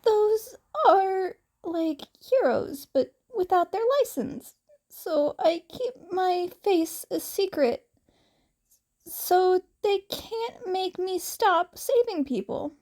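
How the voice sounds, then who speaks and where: A woman's voice: extremely high pitch, expressive, takes it slowly, darkish tone, voice pushed up, heavily acted. A woman voicing a battered teenage boy vigilante, weary but kind and protective, with a strained voice in an audio story. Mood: strained, earnest. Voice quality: strained, raspy.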